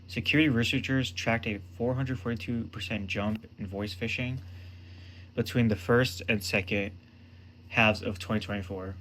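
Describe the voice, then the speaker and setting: A man with a fairly low voice narrates a scripted explainer as its presenter. He speaks in short, emphatic phrases. There is some noise behind his voice. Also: fairly bright tone.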